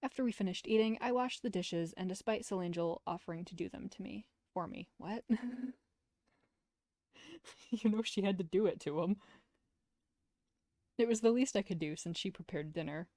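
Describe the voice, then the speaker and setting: A woman's voice: medium pitch, normal speed, pauses often, dark tone, no room sound. A woman streamer reading visual novel text aloud as narration, with casual asides, close to the mic.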